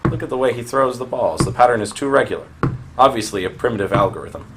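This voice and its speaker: An actor playing an obsessive, lovelorn and arrogant genius programmer in a brooding radio play monologue. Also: male voice, medium pitch, animated, very noisy, lightly acted. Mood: scornful. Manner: brooding, halting, scornful monologue. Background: music.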